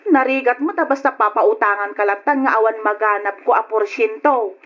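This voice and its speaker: A woman's voice: a little high, a little fast, a bit bright, lo-fi sound, faint music behind, close to an everyday voice. A woman with an Ilocano accent playing a curt, grudging moneylender cousin in an old radio drama.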